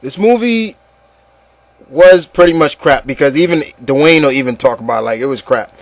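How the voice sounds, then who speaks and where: A man's voice: fairly high pitch, expressive, normal speed, no echo. Emphatic man hosting a casual opinion discussion. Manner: emphatic, repeats himself, opinionated. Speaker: host of an online opinion show. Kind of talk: casual group discussion of movies and games.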